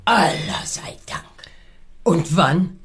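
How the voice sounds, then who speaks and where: An actress playing an excitable, superstitious and fretful Egyptian servant woman in a radio drama. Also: fairly low pitch, drawn-out words. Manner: exclamatory, dramatic.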